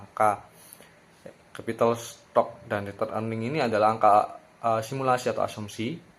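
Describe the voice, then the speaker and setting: A young man giving an explanatory class presentation as a university student, in a slightly halting way with self-corrections. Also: fairly low pitch, a little hiss or hum. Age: young adult.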